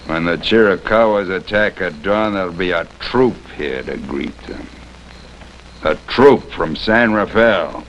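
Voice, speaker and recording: A gravelly, very deep bitter army captain. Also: male voice, dull audio, a little hiss or hum. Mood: sardonic, commanding. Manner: sardonic, commanding, deliberate. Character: bitter, crippled army captain, ruthless and sneering. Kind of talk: 1950s television western acting.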